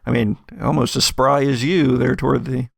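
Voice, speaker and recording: A man acts out a laid-back young nonbinary biker for an actual-play audio drama. The talk is halting and slangy, wandering through half-finished thoughts. The voice has plenty of fry and sounds close and dry. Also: medium pitch, fairly even intonation, dry recording. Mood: awkward, rambling. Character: easygoing, awkward young nonbinary motorcyclist.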